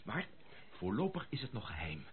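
An actor playing an excitable, effusive young gentleman heir in a radio drama, exclaiming and stammering when agitated. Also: lowish voice, moderate pace, slight background noise. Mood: excited, astonished. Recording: muffled lo-fi radio play recording.

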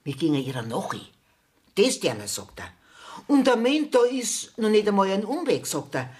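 A woman's voice: mid-range voice, big pitch swings, moderate pace, a little mellow, lightly acted. An actress playing a blunt, feisty peasant woman in a radio play, telling her tale emphatically in southern German dialect. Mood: earthy, confiding. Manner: emphatic, earthy storytelling. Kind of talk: 1970s radio drama acting in dialect.

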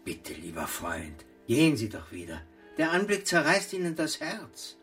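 An actor in a German radio play playing a fussy, self-important village pharmacist with a pompous, expressive manner. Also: male voice, fairly high pitch, a little slow, faint music behind, exaggerated voice. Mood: soothing.

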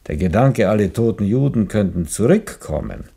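An actor playing a grave, disillusioned man in a radio play, speaking in a measured, brooding, sardonic manner. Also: fairly low pitch, expressive, vocal fry, dark tone, slightly rough, full character voice. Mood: grave, bitter. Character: grave, disillusioned believer, bitter moral accuser. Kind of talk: German radio play acting.

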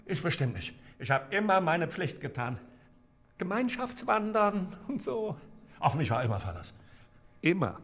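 An actor playing a frightened, naive male prisoner who pleads haltingly in a German radio play, with a raspy, breathy voice that drifts into whispers. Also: on the high side, exaggerated voice. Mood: anxious pleading.

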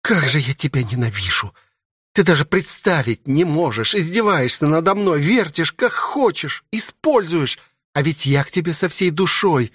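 A man plays a fierce young princess in an audiobook, lovestruck and possessive. Her lines swing upward in lively, demanding jumps, recorded close and dry. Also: fairly high pitch, moderate pace, slightly rough, no echo, voice pushed up. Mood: hurt, angry. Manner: growling, demanding, teasing. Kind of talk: audiobook character voice.

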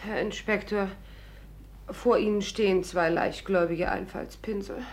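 An actress playing an impulsive, excitable young woman with a dramatic manner in a 1950s German radio play. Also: mid-range voice, unhurried, close to an everyday voice. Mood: agitated.

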